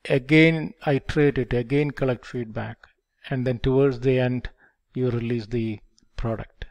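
An older man explaining project life cycles step by step, in a measured lecture delivery on a headset microphone. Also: medium pitch, fairly even intonation, a little mellow. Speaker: project management instructor. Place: dim indoor room. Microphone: headset mic.

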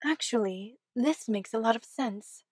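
Poised, high-voiced regal cat bride, played by a woman. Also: unhurried, a little mellow, slightly rough, no room sound, studio quality. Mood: composed. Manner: formal, composed. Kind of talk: fan comic dub.

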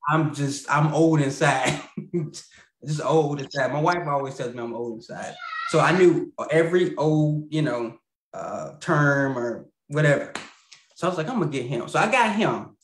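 A man chats casually in an interview over a video call from his home, rambling and apologizing as he goes, with easy laughs. His voice sits a little high for a man. The room around him is noticeably echoey. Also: normal speed, slight background noise. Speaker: puppeteer guest. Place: home room with a lamp and plant.

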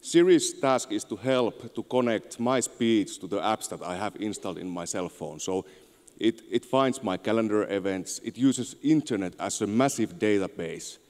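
A man in his thirties with a Finnish accent, giving an informal, somewhat halting conference presentation on a handheld microphone. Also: fairly low pitch, darkish tone. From Finland. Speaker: conference speaker, tech entrepreneur. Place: on stage in a large auditorium. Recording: echoey large hall, long reverb.

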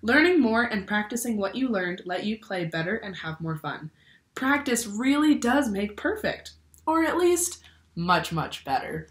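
A young adult woman reading a picture book aloud to children in a deliberate, instructive way. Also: medium pitch, very animated. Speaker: teacher or librarian reading to children. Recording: echoey room.

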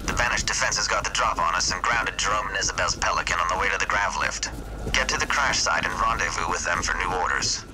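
An actor playing a gruff male army sergeant barking field orders in a video game cutscene. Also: low voice, quick pace, noisy background, lightly acted. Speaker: sergeant briefing troops.

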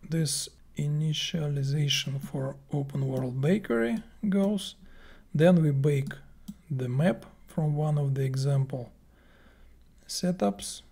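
Guy doing a screen tutorial, slow and halting, soft husky voice that drops to whispers. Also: a little high. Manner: slow, halting, thinking aloud with many fillers. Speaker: game plugin developer. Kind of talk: screen-recorded software tutorial.

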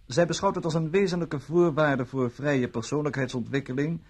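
An actor playing a flippant bon vivant gambler under suspicion, glib and protesting, in a radio drama. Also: male voice, on the high side, steady tone, moderate pace, a little mellow, slightly rough. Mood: whimsical, musing. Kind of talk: vintage Dutch radio drama acting.

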